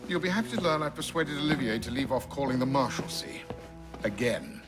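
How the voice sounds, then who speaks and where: A measured, dignified male guardian, played by an actor, stern but wry. His mid-pitched voice moves expressively over a music bed, in the manner of a game cutscene. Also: expressive, lightly acted. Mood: wry reproach. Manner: measured, dryly ironic. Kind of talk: video game cutscene acting.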